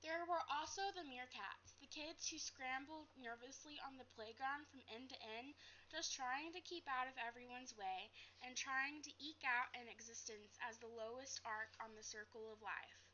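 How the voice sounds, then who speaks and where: A woman's voice: sits high, normal speed, bright, forceful tone, slight background noise. A young adult woman reading a storybook aloud like a teacher, carefully, with some stumbles and repeats. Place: living room, seated on a couch. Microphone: webcam at a short distance. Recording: dull lo-fi webcam audio.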